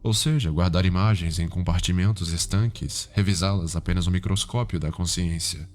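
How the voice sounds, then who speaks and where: A man with a Brazilian accent playing a calm, cultured, sinister psychiatrist in an ASMR roleplay, speaking in a formal, measured, softly probing way. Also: sits low, lightly acted. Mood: clinical, instructive. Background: background music.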